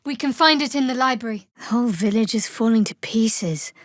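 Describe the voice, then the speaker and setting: A woman voicing a guarded, sardonic young female cleric in a fantasy video game, with a dry, measured, wry delivery. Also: on the high side, no echo, lightly acted. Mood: serious, measured.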